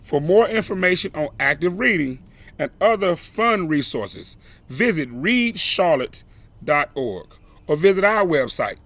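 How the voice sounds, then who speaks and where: Slow, bright-voiced man reading a children's story. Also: fairly high pitch, sing-song. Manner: dramatic, deliberate phrasing with exclamations acted out. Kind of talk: expressive read-aloud of a children's picture book.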